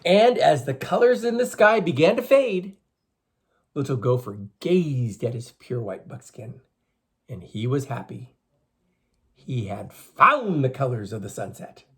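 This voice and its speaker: A man reading a story aloud to schoolchildren in an expressive, teacherly way, with emphatic phrasing. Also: mid-range voice, unhurried, breathy edge. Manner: teacherly, emphatic, addresses listeners with questions.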